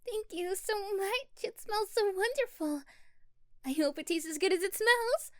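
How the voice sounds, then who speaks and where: Casting: female performer as sweet, timid captive girl, young adult, very high voice, stammering, close dry audio drama sound. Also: unhurried, dry recording. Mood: shy, delighted. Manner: stammering, breathy exclamations. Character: sweet, shy young woman, captive and pleading. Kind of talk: dramatic audio roleplay acting.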